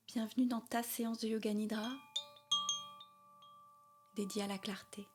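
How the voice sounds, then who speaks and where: A woman leading a guided yoga nidra meditation in a soothing, unhurried way, with soft, breathy phrase endings. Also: fairly high pitch, flat delivery. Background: meditation music with chimes.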